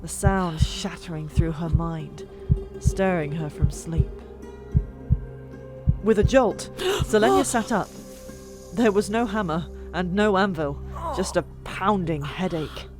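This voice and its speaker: A woman reading fiction as an audiobook narrator, dramatic and expressive, with drawn-out pauses. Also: fairly low pitch. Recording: music behind, older microphone. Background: music.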